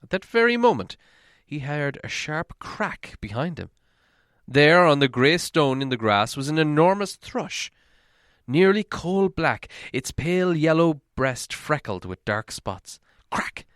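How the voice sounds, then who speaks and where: A man's voice: fairly high pitch, a bit bright, no room sound, studio quality. A man reading a story aloud as a dramatic audiobook narrator.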